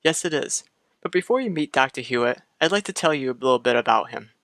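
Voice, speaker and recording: An actor playing a courteous, reassuring doctor in an old radio soap, with precise, matter-of-fact delivery. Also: male voice, medium pitch, animated, fast, a bit bright, no room sound, clean studio sound. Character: reassuring, courteous doctor, trusted family friend. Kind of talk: mid-century radio soap acting.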